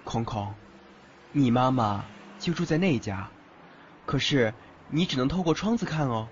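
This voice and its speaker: A man's voice: mid-range voice, expressive, a little slow, highs cut off, a little hiss or hum, lightly acted. A man acting in an audio drama as a brash but doting, teasing teenage schoolboy. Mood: gentle. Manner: teasing, affectionate. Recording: band-limited, background music throughout.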